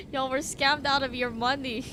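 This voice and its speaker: A woman's voice: high-pitched, sing-song, slow pace, over a noisy crowd. A young woman playing a video game and commenting casually, giggling and teasing the characters on screen. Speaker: streamer. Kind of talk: casual let's play commentary. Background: loud game music.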